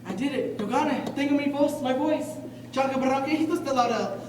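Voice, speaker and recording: A teenage woman in a stage play acting a brave, excitable young Mexican boy, declaiming and chanting in Spanish and English. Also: high-pitched, fast, close to an everyday voice. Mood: triumphant, excited. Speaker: student lead actor in a high school play. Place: theatre stage, recorded from the audience. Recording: echoey auditorium from far off, noisy.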